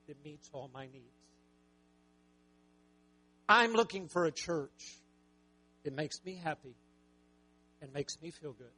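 A man preaching a sermon with a raspy voice, talking conversationally and joking with the congregation. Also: high-pitched, bright, forceful tone, rough recording. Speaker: pastor.

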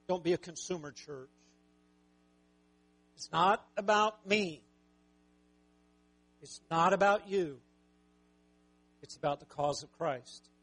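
A man preaching a sermon with a raspy voice, talking conversationally and joking with the congregation. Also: high-pitched, a bit bright. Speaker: pastor.

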